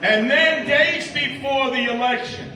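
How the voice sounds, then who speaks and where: A man gives a rally speech in a very high, bright and forceful voice. He hammers his points with repetition and declamatory emphasis. The sound echoes heavily, picked up from far off. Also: a little slow, slight background noise. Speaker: rally speaker and radio host. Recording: echoey public address from far off.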